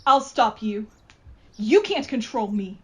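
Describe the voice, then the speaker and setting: A woman's voice: fairly high pitch, expressive, takes it slowly, darkish tone, slightly rough, heavily acted. A woman playing a shy woman fighting a possessing spirit, protesting in distress in an audio horror drama. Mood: defiant.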